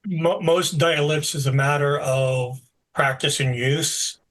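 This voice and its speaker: A man's voice: a little high, takes it slowly, low-quality recording. Man narrating a tabletop game. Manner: relaxed, explanatory, with frequent fillers. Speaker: game master.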